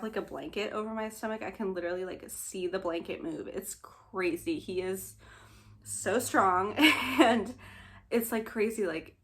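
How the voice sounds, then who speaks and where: A young woman, slightly nasal and short of breath, chatting casually in a vlog, laughing often between filler words. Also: mid-range voice. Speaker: vlogger. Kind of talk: casual pregnancy update vlog.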